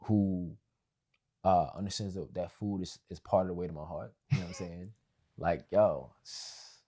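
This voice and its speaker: A man's voice: sits low, fairly even intonation, moderate pace, mellow. A young man on a podcast, talking casually and rambling, with many fillers and restarts. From family of West Indian background. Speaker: podcast guest.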